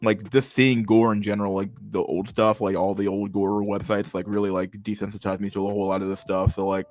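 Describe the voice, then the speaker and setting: A man in his twenties with a stammer and a lisp, chatting casually to his livestream chat, halting and restarting words and swearing freely. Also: lowish voice, flat delivery, a little mellow, soft background music. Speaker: livestream host reading chat.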